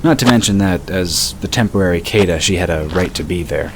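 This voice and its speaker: Deep-voiced male narrator. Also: fairly quick. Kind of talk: scripted audiobook narration. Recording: noisy home recording.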